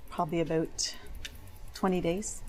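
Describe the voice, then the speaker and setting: A woman's voice: fairly low pitch, steady tone, normal speed, a little mellow, lightly acted. A woman playing an injured typist giving plain, guarded and hesitant testimony. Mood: subdued, hesitant. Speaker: claimant being examined. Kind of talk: staged legal testimony.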